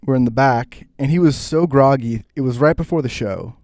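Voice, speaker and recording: A man's voice: mid-range voice, moderate pace, a little mellow, no echo, studio quality. An American man co-hosting a podcast, trading stories in an informal, casual way. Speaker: podcast co-host. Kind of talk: casual banter, telling stories.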